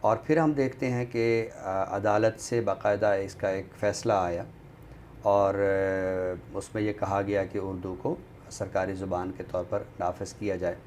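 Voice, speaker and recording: A man with a Pakistani accent, speaking as an expert guest on a literary talk show in a measured, explanatory way. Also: sits low, steady tone, darkish tone, slight background noise. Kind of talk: conversational commentary on a talk show.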